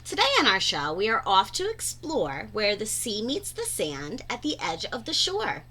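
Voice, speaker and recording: A woman reading a children's picture book aloud in an expressive, deliberate, teacher-like way. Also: medium pitch, slight background noise. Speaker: read-aloud storyteller.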